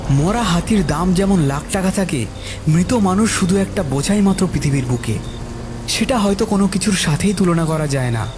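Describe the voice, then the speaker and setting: A man's voice: high voice, animated, moderate pace, over music. A man narrating a scripted horror story in the first person.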